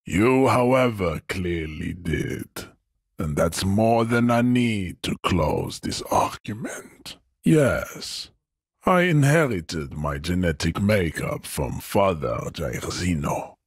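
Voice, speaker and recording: An imposing, pompous ideologue from a video game. His low voice swoops through grand, scornful declamations. The sound is dry and close, every pronouncement full of proud disdain. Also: big pitch swings, slow, husky, no echo, heavily acted. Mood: proud, lecturing. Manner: grandiloquent, declamatory, emphatic listing. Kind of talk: theatrical video game character acting.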